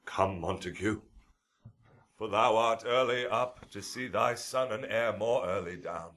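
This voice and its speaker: An actor playing a stern, authoritative prince in a Shakespearean radio drama. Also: male voice, on the low side, a little slow, fairly bright tone. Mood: stern, commanding.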